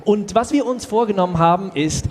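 An ageing children's show host acted by a man greets and questions in a jovial, teasing way. The voice sits fairly high, in an improvised audio play recorded in a long-reverb hall with clear noise. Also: fairly quick, natural voice. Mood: showy welcome.

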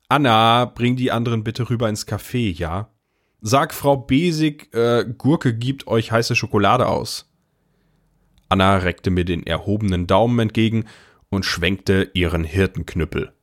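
Audio drama casting: man as deep-voiced older brother, first-person narrator with dry, understated wit. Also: lightly acted. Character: wry, unflappable older brother narrating his story.

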